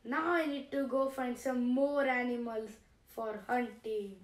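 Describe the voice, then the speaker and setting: A woman's voice: fairly high pitch, fairly even intonation, unhurried, reverberant, close to an everyday voice. A woman voicing a gloating, taunting male hunter in a children's puppet story. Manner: cackling, taunting.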